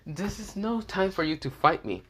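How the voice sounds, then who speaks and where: Man putting on a teasing young woman, mocking, giggly, raised pitch. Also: very animated, moderate pace, a bit bright, full character voice.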